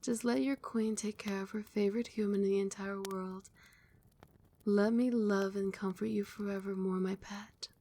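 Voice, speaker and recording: ASMR casting: a young woman as a tender, possessive vampire queen, soft soothing whisper, close mic. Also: medium pitch, level tone, normal speed, close to an everyday voice. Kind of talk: ASMR roleplay.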